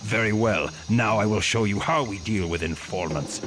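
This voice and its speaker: A cold, menacing male villain played by an actor interrogates in a low voice. The video game recording is noisy, with insects chirping behind him. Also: normal speed, lightly acted. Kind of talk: video game cutscene acting.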